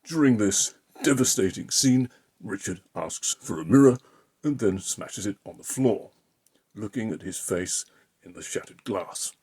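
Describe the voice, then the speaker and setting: Husky, low-voiced man reading pompously. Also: expressive, unhurried, darkish tone. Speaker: amateur reader of a political article. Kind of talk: reading an opinion essay aloud in an impression of a pundit.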